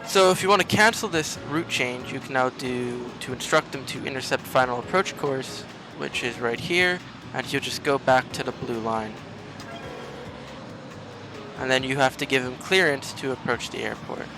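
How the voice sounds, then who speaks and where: A man's voice: mid-range voice, moderate pace, music in the background. A man hosting a gaming video, explaining a game step by step in tutorial-style commentary.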